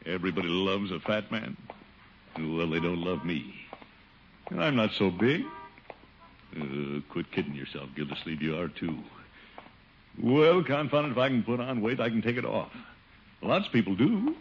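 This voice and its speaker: An actor playing a pompous, easily wounded middle-aged uncle, blustering and muttering theatrically in 1950s radio comedy style. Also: male voice, low voice, sing-song, normal speed, pauses often. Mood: defiant. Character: pompous, wounded, self-pitying chubby middle-aged uncle, town official. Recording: muffled, noisy old radio broadcast.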